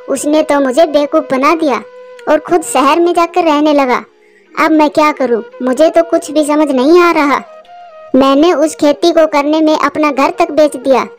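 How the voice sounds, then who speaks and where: A woman voicing an earnest, hard-working female cartoon bird who frets aloud in a plaintive manner. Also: high-pitched. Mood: distressed, regretful. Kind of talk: Hindi cartoon story voice acting.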